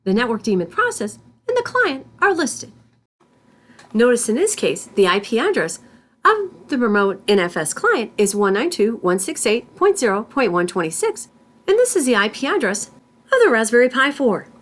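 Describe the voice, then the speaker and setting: A woman instructor reading scripted tutorial narration in a deliberate, step-by-step manner. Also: a little high, sing-song, a bit bright. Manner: deliberate, spells out terms symbol by symbol.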